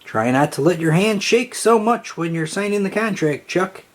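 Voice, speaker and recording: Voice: mid-range voice, expressive, normal speed, voice pushed up, lightly acted. A narrator voicing a blunt, confident tomboy girl in a children's storybook, with a breezy manner.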